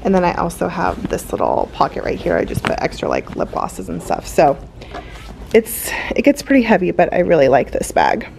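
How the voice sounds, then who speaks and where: Chatty young teacher woman, low voice. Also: huge pitch swings, normal speed, creaky, poor audio. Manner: chatty, filler-heavy, upbeat. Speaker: elementary school teacher. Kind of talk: casual vlog chat. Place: classroom. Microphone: handheld camera.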